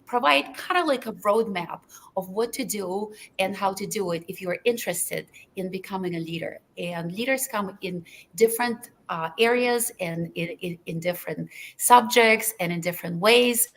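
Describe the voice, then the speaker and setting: A woman's voice: medium pitch, normal speed, fairly bright tone. A Russian-speaking woman from Lithuania, talking earnestly on a webinar panel with many fillers and restarts over a video call. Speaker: panelist anesthesiologist. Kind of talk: webinar talk.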